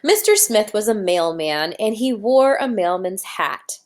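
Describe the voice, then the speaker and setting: Female teacher for children's read-aloud, medium-pitched, instructive, speaks straight to young listeners and prompts them with questions. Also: expressive, measured pace. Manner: instructive, addressing children directly, posing questions. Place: indoors at a computer.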